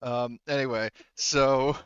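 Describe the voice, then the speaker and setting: A man's voice: medium pitch, steady tone, takes it slowly, a bit bright, smooth, no echo, dull audio. A man working as a game master, improvising narration and banter for a tabletop game in a jokey way, feeling out his phrasing as he goes.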